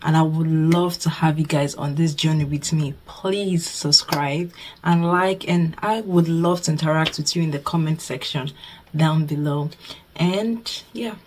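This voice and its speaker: Low-voiced Nigerian woman chatting to camera. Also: a little slow, a little mellow, slight background noise. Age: 20s. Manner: chatty, repeats herself, thankful. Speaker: budgeting vlogger. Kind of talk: casual talk to camera.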